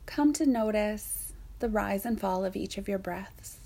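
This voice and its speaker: A woman softly guiding a yoga nidra meditation in a soothing, breathy way, leaving long pauses. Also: medium pitch. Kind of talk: guided meditation narration.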